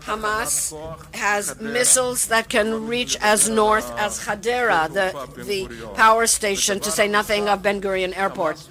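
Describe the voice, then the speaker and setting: A woman interpreting a conference interview live from a booth, speaking slowly and in measured, halting phrases. The murmur of a large audience comes through clearly behind her, and her voice sits in the middle of the usual range. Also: medium pitch, fairly even intonation, a crowd in the background. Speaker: simultaneous interpreter.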